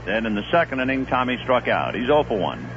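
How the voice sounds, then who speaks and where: A man calling a baseball game play by play on radio, with a relaxed storytelling delivery that rises sharply on big plays, heard from an old 1970s broadcast. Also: mid-range voice, fairly quick, lo-fi sound, slight background noise. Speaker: play-by-play announcer. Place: broadcast booth at a stadium.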